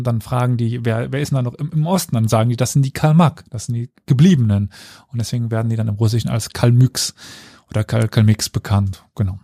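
A man's voice: medium pitch, mellow, no echo. A man hosting a casual history podcast, explaining at length with fillers and dry self-mocking asides. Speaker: podcast host telling history.

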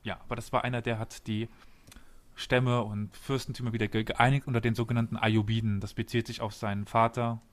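A man's voice: mid-range voice, little pitch movement, a little fast. A man hosting a podcast, casually explaining history step by step and quizzing his co-host and listeners.

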